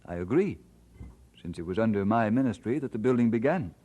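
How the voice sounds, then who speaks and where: An actor playing an earnest, devoted minister and husband in an old-time radio drama, speaking in a measured, sincere way. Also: male voice, lowish voice, expressive, mellow, highs cut off. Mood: earnest, deliberate.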